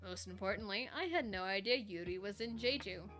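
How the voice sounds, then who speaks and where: A woman streamer reading a story aloud, expressive and playful, with chatty asides. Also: mid-range voice, fairly quick, faint music behind.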